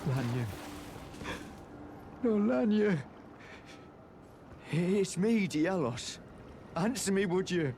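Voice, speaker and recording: A man playing a distraught young nobleman in a video game, anguished and halting. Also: high-pitched, big pitch swings, exaggerated voice. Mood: anguished, pleading. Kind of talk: video game character acting. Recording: clear ambient noise behind the voice.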